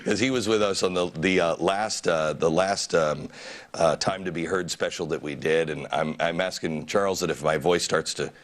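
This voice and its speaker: Deep-voiced male TV host with a cold. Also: moderate pace. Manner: rambling, self-deprecating, with frequent restarts. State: has a bad cold, losing his voice. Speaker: talk show host. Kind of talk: studio panel discussion. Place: television studio with audience.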